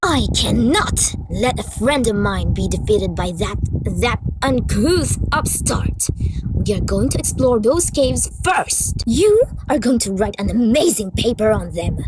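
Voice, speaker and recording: A woman's voice: on the high side, lively intonation, unhurried, background noise. A woman voicing a refined young female cartoon pony fashionista, gushing and theatrical in a comic dub. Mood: indignant. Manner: posh, gushing, melodramatic.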